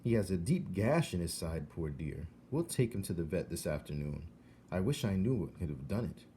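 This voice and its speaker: A man's voice: deep voice, big pitch swings, normal speed, mellow, a little hiss or hum. A man voicing a fussy, kindly elderly lady in a storybook reading. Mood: concerned. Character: elderly British lady, fussy, kindly tea-leaf reader.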